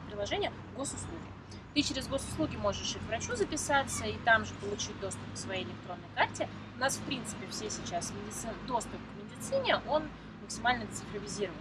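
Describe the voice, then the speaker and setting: Woman explaining things over loud traffic. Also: a little high. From Moscow, Russia. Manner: measured and explanatory. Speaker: interview guest. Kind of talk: explanatory conversational answers. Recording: distant mic with loud traffic noise.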